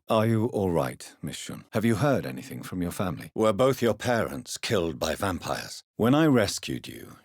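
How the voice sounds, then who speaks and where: A male actor playing a grave, courteous gentleman doctor turned vampire in a video game, with a British accent, measured formal speech and a husky voice. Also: low-pitched, no echo, studio quality, heavily acted. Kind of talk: dramatic game dialogue, formal period speech.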